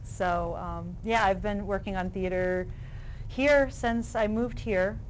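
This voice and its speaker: A woman's voice: medium pitch, takes it slowly, slight background noise, rough recording. A middle-aged woman chatting as an interview guest, often restarting her sentences as she thinks aloud. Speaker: interview guest, playwright and theater director. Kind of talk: casual interview chat.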